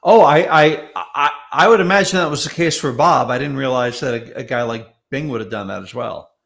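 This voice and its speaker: A man's voice: mid-range voice, fairly quick, creaky, a bit bright. A man chatting casually as a talk show guest, a curious and reactive listener. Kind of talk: casual chat.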